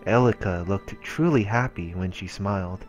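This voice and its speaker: Low voiced guy reading visual novel narration out loud for a lets play. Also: moderate pace, lo-fi sound, soft background music. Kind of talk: reading game narration aloud.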